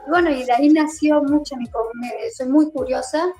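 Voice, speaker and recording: A woman with a Córdoba Argentine accent answers interview questions in a fairly high voice. She talks hesitantly, with many fillers and repeated words. Faint music plays behind her. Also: moderate pace, warm tone. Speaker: guest. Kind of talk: online interview.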